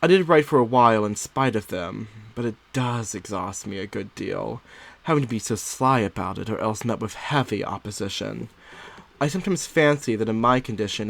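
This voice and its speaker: A man voicing an anxious young Victorian wife confiding in her diary, in an expressive, dramatic audiobook reading with drawn-out words and pauses. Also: medium pitch, gravelly. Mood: confiding, resigned.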